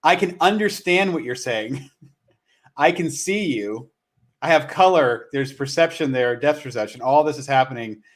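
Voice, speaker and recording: A man's voice: medium pitch, expressive, unhurried. Earnest man in his forties chatting. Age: 40s. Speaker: podcast host. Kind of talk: casual motivational conversation.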